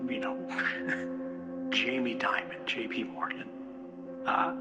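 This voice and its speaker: A man giving an earnest, halting political speech to a room, often posing rhetorical questions. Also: mid-range voice. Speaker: public speaker. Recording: loud music under a speech. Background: loud background music.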